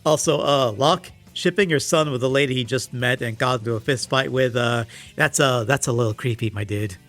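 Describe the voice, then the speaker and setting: A man's voice: medium pitch, a little fast, a bit bright. A man delivering scripted comedic commentary in a sarcastic, exasperated way with emphatic swings. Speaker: video essayist reviewing comics. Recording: music playing behind the voice. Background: background music.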